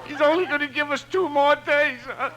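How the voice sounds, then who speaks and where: Plaintive high-voiced messenger. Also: male voice, steady tone, normal speed, highs cut off. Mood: mournful, pleading. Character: scheming telegram messenger with a hard-luck sob act. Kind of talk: 1950s radio comedy acting. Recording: noisy old 1950s radio broadcast.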